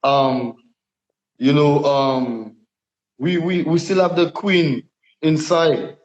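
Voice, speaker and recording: A man's voice: on the high side, takes it slowly. A man with a Dominican accent, a DJ answering interview questions in a laid-back, hesitant way, thinking aloud as he goes. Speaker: DJ guest. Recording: echoey room, dull lo-fi sound.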